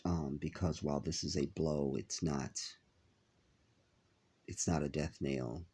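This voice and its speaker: A man talking to his viewers in a halting vlog, with a nasal, congested voice as he recovers from an illness. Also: rumbling low, fairly even intonation, mellow, breathy edge. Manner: halting, many filler pauses. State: stuffy, congested after illness. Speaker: vlogger. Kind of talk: casual vlog talk to viewers.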